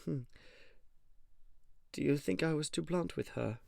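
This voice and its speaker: A man's voice: fairly high pitch, a little slow, gravelly, darkish tone, dry recording, clean studio sound. A man playing a fussy, genteel, timid plant creature in a fantasy audio drama, prim and easily flustered. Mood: sheepish. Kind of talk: scripted audio drama acting.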